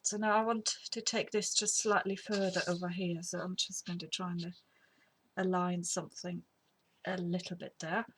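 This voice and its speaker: Brief: middle-aged woman, lowish voice, a bit sniffly from a cold, chatty crafting tutorial with a faint hiss behind. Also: flat delivery, moderate pace. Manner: rambling, chatty, drops to whispers while working. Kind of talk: casual craft demonstration. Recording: faint background noise.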